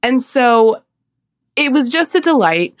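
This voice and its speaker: A woman's voice: sits high, sing-song, takes it slowly, no echo, studio quality. Chatty woman host, nasal from a cold. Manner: chatty, laughs often. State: slightly nasal from a summer cold. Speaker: podcast host. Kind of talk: casual podcast chat.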